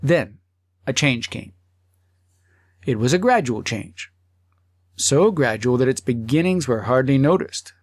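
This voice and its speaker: A man narrating a humorous literary story as an audiobook, phrasing it deliberately and expressively with pauses. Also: mid-range voice, lively intonation, dry recording, clean studio sound.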